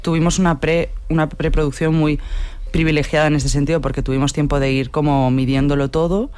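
A man's voice: on the high side, flat delivery, a little fast, a little mellow, clear, resonant tone, dry recording. A man, a director, answering interview questions thoughtfully with frequent hesitations. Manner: thoughtful, hesitant, many "em" and restarts.

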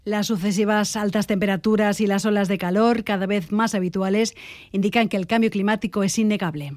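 A woman's voice: mid-range voice, fairly even intonation, fairly quick, darkish tone, dry recording, clean studio sound. A woman with an accent from Spain, anchoring a scripted radio news bulletin.

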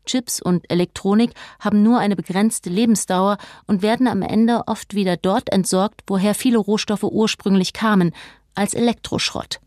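A woman's voice: medium pitch, darkish tone, no echo. Woman reading scripted narration, dry close sound. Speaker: narrator and reporter.

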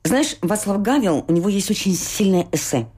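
A woman interviewer speaking earnestly, leaning slowly and heavily on her key phrases. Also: medium pitch, lively intonation, dark tone, breathy edge. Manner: earnest, emphatic, slow and weighty on key phrases. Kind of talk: interview questions and commentary.

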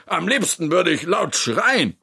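A man voicing an earnest, emotional child friend in a children's story, with an excitable manner. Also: high-pitched, measured pace, vocal fry, breathy edge, dry recording, studio quality, voice pushed up, exaggerated voice.

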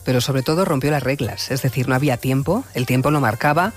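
A man hosts a late-night radio talk, his voice sitting in a middle register. He speaks in a measured, reflective way and steers his guest with questions. Soft music plays faintly behind him. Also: medium pitch. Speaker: radio host.

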